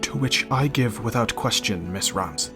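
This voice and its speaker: A man plays a calm knight captain in a fantasy audio drama. He speaks courteously in a fairly low voice, with faint music behind. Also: a little slow.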